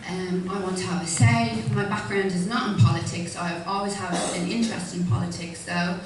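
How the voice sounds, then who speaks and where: A woman's voice: on the low side, steady tone, moderate pace. A woman in her thirties with a Dublin Irish accent, speaking informally and hesitantly, with many ums, as a candidate at a hustings. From Ireland. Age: 30s. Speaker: independent candidate. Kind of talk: speech at a hustings. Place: public hustings hall. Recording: echoey hall from far off with faint noise.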